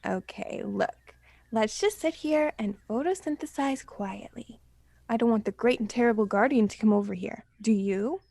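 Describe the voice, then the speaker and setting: A woman's voice: a little high, moderate pace, creaky, no echo. A young woman playing an anxious, kindly leaf who tries to keep the peace in a student radio play. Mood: wary. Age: young adult. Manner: pleading, soothing, hushed at times. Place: small improvised home recording space.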